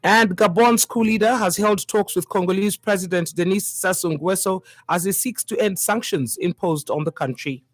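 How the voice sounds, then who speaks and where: A woman's voice: mid-range voice, normal speed, few pauses, faint music behind. A woman with a Zambian accent presenting a scripted radio news bulletin.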